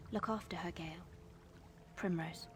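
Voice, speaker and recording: An actress playing a gentle, selfless ailing daughter, reading a letter aloud in an earnest, careful way. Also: female voice, lowish voice, moderate pace, noisy background, natural voice. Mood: pleading.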